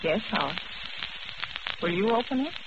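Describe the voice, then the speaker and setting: A woman playing a frightened woman forcing calm. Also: medium pitch, close to an everyday voice. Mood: forced calm. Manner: tense, halting, forced calm. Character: frightened middle-aged woman, trying to stay calm. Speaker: narrator and lead. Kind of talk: 1940s radio acting. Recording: dull old radio recording with loud hiss.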